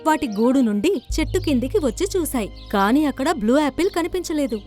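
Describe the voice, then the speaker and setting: A woman's voice: high-pitched, lively intonation, quick pace, a little mellow. A woman narrator reading a scripted children's story. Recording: background music under the voice.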